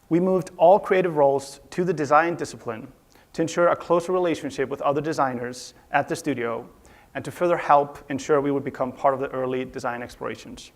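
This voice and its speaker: Gravelly man from Iceland presenting. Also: mid-range voice. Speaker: conference speaker, studio UI/UX director. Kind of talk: presentation talk. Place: conference stage.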